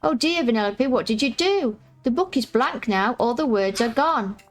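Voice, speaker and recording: A woman reading the lines of a prim, polite young girl in a video game, with a croaky voice and formal phrasing. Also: a little high, expressive, moderate pace, close to an everyday voice. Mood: fretful.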